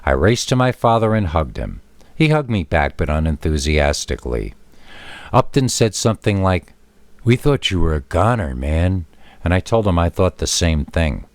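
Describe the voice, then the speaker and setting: A man's voice: low-pitched, very animated, normal speed, no echo. A man reading a scripted first-person scary story as a narrator.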